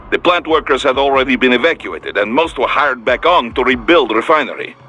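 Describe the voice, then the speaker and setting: An actor playing a seasoned, pragmatic Russian security operations chief in a video game, with a Russian accent and a measured, dry briefing manner. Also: male voice, medium pitch, pushed and bright. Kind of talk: video game radio dialogue acting.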